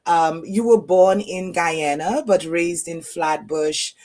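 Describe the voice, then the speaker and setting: Slow-talking lady host on a video call, low voice, halting with ums. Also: darkish tone. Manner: slow, halting with many fillers. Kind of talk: interview questions.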